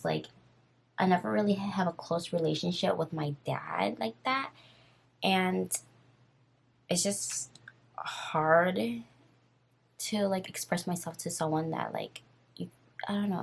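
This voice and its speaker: A woman in her twenties with a raspy voice, casually telling a story on her vlog while doing her makeup, rambling and full of fillers. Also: lowish voice, lively intonation, measured pace, slight background noise. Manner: rambling, filler-heavy and nervous. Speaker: vlogger.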